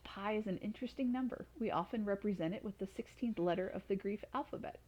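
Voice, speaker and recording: A woman's voice: medium pitch, fast talker, a little mellow, lo-fi sound. A woman storyteller reading expressively to children. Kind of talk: expressive storybook read-aloud.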